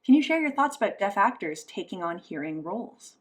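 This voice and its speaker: A woman speaks as an interpreter, putting a signed interview into speech. Her voice sits at a middle pitch for a woman. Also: very animated, fairly quick. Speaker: sign language interpreter voicing an interview.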